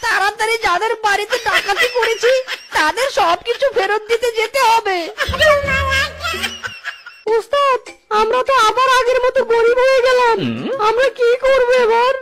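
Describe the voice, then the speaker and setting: A woman voicing an excitable cartoon fox bandit, blustering yet cowardly, with a squeaky, exclamatory delivery. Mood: whining, remorseful. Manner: exclamatory, repetitive, pleading and blustering. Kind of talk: Bengali animated cartoon acting.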